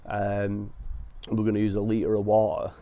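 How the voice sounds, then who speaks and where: A man with a croaky, hoarse voice from being ill, hosting a car detailing vlog and explaining each step in a chatty way with many fillers. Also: on the low side, monotone, moderate pace. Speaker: car detailing channel host. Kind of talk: casual talk to camera with fillers. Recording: dull lo-fi sound.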